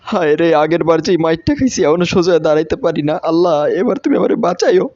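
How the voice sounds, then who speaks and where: A man's voice: a little high, expressive, fast talker, a little mellow, no echo. A man with a Bangladeshi accent voicing a battered, fearful young mouse, plaintive, in a comic cartoon dub. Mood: whining dread. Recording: muffled, dull lo-fi audio.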